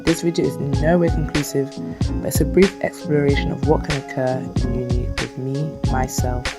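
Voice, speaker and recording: A woman narrating her own documentary in an earnest, measured way. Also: rumbling low, steady tone. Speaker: documentary maker. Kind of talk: scripted narration. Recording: voice-over over loud hip hop music.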